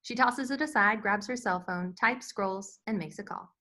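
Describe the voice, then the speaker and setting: A woman's voice: medium pitch, moderate pace, sharp tone. A woman narrating scripted stage directions at a screenplay table read.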